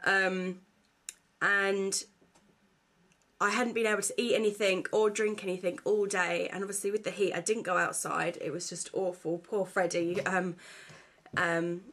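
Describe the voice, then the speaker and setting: A woman's voice: mid-range voice, flat delivery, unhurried, a bit bright. A young woman talks casually to a camera at home. She rambles along with frequent fillers, and her voice sounds congested from a blocked nose. The sound is simple, picked up by the camera's own mic. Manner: rambling and chatty, full of fillers. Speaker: vlogger.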